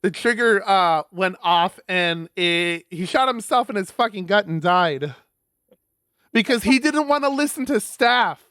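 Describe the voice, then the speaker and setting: A man's voice: high-pitched, lively intonation, unhurried, pushed and bright, clean tone, no room sound, studio quality. A man reading a visual novel aloud on a stream, expressively, with casual sweary asides.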